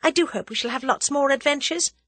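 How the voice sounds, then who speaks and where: A woman's voice: sits high, brisk, fairly bright tone, no echo, voice pushed up. A woman voicing a spirited, teasing young woman in love in an audiobook, playful and eager. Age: young adult. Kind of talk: audiobook character dialogue.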